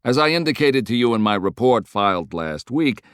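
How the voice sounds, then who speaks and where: A man's voice: mid-range voice, expressive, moderate pace, fairly bright tone, smooth, no echo, studio quality, natural voice. A man voicing a self-assured army general in an audiobook, formal and politely insolent. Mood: smug courtesy.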